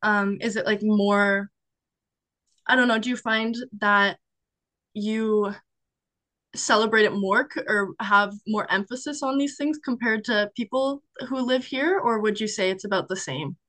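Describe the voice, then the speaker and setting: A woman's voice: mid-range voice, a little slow, sharp tone, dry recording. A young woman, a student conducting a class interview, reading a formal opening and then chatting in a friendly way. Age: young adult. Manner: friendly, scripted intro then chatty, laughs often.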